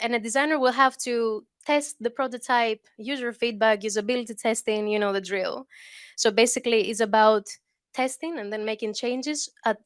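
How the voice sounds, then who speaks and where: A woman's voice: a little high, dry recording, clean studio sound. A woman from Greece with a Greek accent, giving a conversational conference talk at a mic, with fillers and restarts. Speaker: conference speaker. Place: conference hall. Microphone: handheld or lectern mic.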